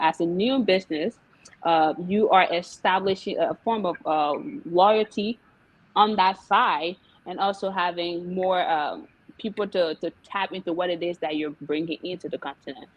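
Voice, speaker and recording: A woman's voice: on the low side, dry recording, highs cut off. A young woman from Liberia, a guest entrepreneur in an interview, talking casually with many fillers and laughs. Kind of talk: interview chat.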